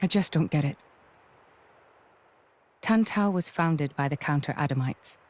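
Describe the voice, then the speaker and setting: An actress voicing a serious female officer who reasons aloud in a formal, measured way. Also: sits low, a little mellow. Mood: pensive.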